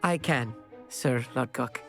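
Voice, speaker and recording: A man's voice: mid-range voice, slow pace, creaky, sharp tone, soft background music, heavily acted. An actor playing a polite, bookish, timid teenage boy wizard in a video game, courteous and hesitant in his precise speech. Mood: deferential, sheepish. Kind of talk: video game cutscene acting.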